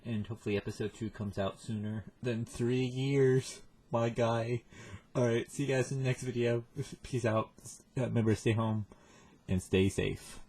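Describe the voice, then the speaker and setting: A man hosting a reaction video with casual, halting, self-deprecating commentary in a husky voice. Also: medium pitch, steady tone, dark tone.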